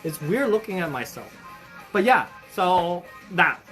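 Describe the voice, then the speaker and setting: Young adult hobby shop host, bright clear tone, chatty and effusive, faint music underneath. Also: medium pitch, sing-song, a little slow. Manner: chatty and upbeat, with frequent fillers and restarts; friendly and effusive toward viewers. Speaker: hobby shop staff hosting a livestream. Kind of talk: casual livestream chat, greeting viewers and reading their comments.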